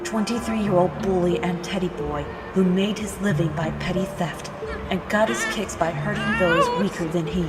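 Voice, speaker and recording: A woman's voice: fairly low pitch, normal speed. A woman acting as hostess and narrator of a scripted story, telling it in a dramatic storytelling style. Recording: long reverb, music behind.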